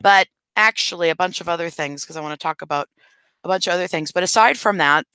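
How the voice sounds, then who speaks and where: A woman's voice: on the low side, quick pace, bright, forceful tone, clean tone, dry recording. A woman hosting a live stream in casual chat, talking straight to her viewers while losing her voice. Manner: chatty, addresses viewers directly.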